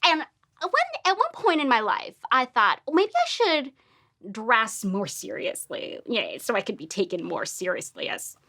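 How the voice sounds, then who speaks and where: A woman professor speaking in a lively, cheerful way during a candid conversation. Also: fairly high pitch, normal speed, gravelly.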